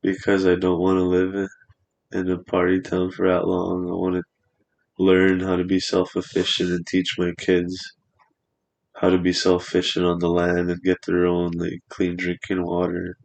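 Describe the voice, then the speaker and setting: A man's voice: sits low, monotone, moderate pace, vocal fry, low-quality recording. A man in his twenties chatting casually on a podcast in a studio, rambling and swearing freely. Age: 20s.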